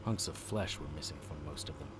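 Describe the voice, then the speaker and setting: An actor playing a grim, deadpan occult investigator who narrates his own adventure in a noir audio drama. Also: rumbling low, a little fast, very noisy. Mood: grim, tense.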